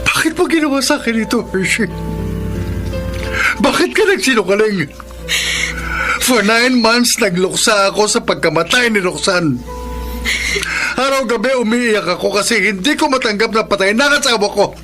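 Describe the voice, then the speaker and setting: High-pitched, betrayed Filipino husband. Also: male voice, animated, normal speed, pauses often, over music. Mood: hurt, accusing. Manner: emotional, accusing, pleading. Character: betrayed, grieving husband, wounded and bitter. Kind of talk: Philippine radio drama acting.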